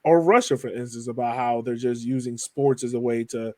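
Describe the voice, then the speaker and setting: A man's voice: mid-range voice, steady tone, a little fast, darkish tone, dry recording. A man hosting a podcast, talking in a casual, conversational interview style. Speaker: podcast host.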